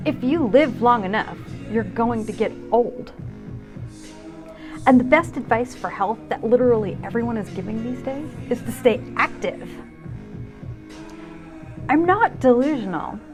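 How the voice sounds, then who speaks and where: A woman in her fifties narrating her own video in a reflective, wry, self-deprecating way with expressive emphasis. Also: a little high. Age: 50s. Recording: music under the voice. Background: music.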